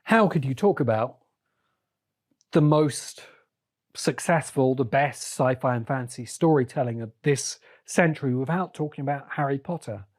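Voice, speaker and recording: A man hosting a solo podcast, giving opinionated commentary in deliberate, halting phrases with short bursts of emphasis. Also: mid-range voice, darkish tone. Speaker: podcast host.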